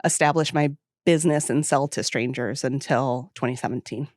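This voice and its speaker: A woman's voice: a bass voice, normal speed, darkish tone, no echo, studio quality. A woman in her forties from central New York state, telling her story as a guest in a casual podcast interview. She is chatty and laughs easily. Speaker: guest, home baking business owner.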